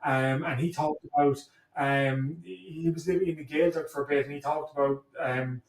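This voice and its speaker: A man, a guest in an interview, speaking thoughtfully and haltingly as he searches for words. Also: a little high, fairly even intonation. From Ireland (Cork). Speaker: guest, history professor. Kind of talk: reflective conversational interview. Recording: echoey room, distant from mic.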